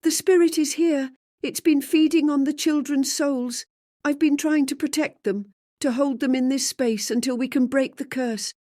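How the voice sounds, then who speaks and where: A woman's voice: high voice, steady tone, moderate pace, dark tone, no room sound, clean studio sound, voice pushed up, natural voice. A woman voicing a solemn, burdened village healer in a folktale, earnest and strained as she pleads. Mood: urgent pleading.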